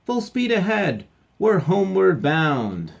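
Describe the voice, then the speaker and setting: A man's voice: sits high, normal speed, a little mellow, pitched above the performer's own voice. A man voicing a bossy, grumpy dog pirate captain from a storybook, with blustery, exclaiming pirate talk. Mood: blustering, alarmed.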